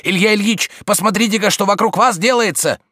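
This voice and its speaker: A man's voice: mid-range voice, sing-song, moderate pace, bright, forceful tone, no echo, voice pushed up. A man performs a grumbling manservant in an audiobook tale. He nags in homely, colloquial phrases, dutiful but exasperated. The delivery moves up and down freely, with a close, dry sound. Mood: despairing, urgent. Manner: colloquial, folksy nagging. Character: nagging, grumbling male servant, exasperated yet dutiful.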